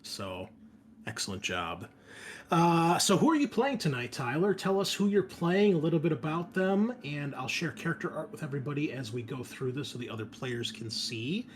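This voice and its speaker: A man's voice: on the high side, sing-song, moderate pace. A man hosting and running a tabletop game session, chatting casually and welcoming his players in a friendly way. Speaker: game master and show host.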